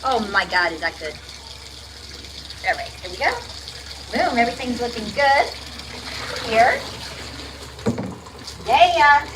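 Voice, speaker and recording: Jersey lady w a lisp chatting on a kitchen livestream, kinda high bright voice, water running faint in the back. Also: animated, takes it slowly, pauses often, background noise. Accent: New Jersey. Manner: chatty and upbeat, hums and sings along. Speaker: livestream host. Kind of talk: casual livestream chat while cooking and cleaning. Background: tap water running.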